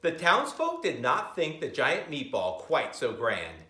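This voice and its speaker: A middle-aged man reading a picture book aloud expressively, pausing to comment and ask his listeners questions, recorded in a school library. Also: fairly high pitch, wildly swooping pitch, bright, forceful tone. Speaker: school reader of picture books to children. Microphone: camera a few metres away. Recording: roomy library, camera at a distance.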